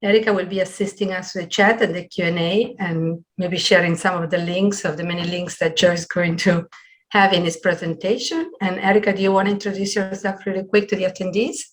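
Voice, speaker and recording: A middle-aged woman hosting a webinar on a video call from a small room at home, introducing things in a halting, partly read way. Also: fairly low pitch, steady tone. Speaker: webinar host. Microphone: computer video call.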